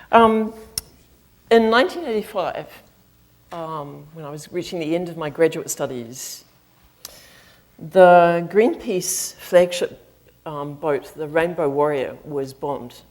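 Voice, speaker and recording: A woman's voice: low voice, takes it slowly, a little mellow. A middle-aged woman with a New Zealand accent giving a chatty, self-deprecating lecture to an audience, with frequent ums. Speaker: guest speaker, flute professor. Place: campus auditorium.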